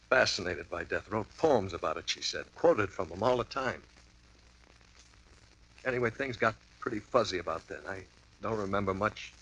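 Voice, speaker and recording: A shaken, hungover client. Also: male voice, sits low, fairly bright tone, husky, heavily acted, poor audio. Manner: wavering from mumbling to frantic. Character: rattled hungover man, frantic and hysterical. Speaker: client telling a story. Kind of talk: 1950s radio drama acting.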